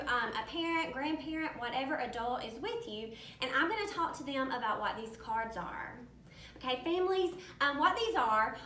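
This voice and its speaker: A woman's voice: high voice, pushed and bright. A woman presenting as a school educator, giving friendly instructions to children and families. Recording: echoey, distant mic, background noise.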